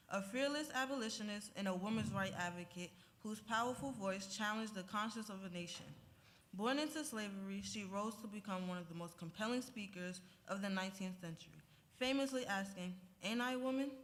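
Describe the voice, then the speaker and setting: A woman acting as talk show host in a church skit, reading aloud in an even way. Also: mid-range voice. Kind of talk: scripted talk show hosting. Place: church hall. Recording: hall reverb with faint noise.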